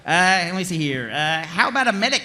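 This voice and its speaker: An actor playing a laid-back slacker dude on a military command hotline, with casual surfer slang, in a comedy table read. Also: male voice, sits high, sing-song, a little fast, noisy background. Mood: laid-back, breezy.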